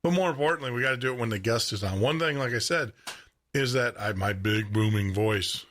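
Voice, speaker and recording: A man with a deep baritone voice hosting a live radio show and podcast from his studio, chatty, laughing often and repeating words as he talks. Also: fairly quick, fairly bright tone. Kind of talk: casual live radio chat. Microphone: studio microphone with headphones.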